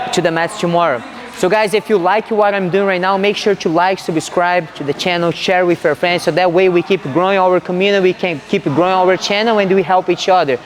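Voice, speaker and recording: A man in his twenties with a Brazilian accent, a jiu-jitsu instructor talking casually and earnestly, with encouraging emphasis. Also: high-pitched. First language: Portuguese. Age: 20s. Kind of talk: casual instructional talk to camera and class. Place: large indoor martial arts gym. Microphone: small mic clipped to chest.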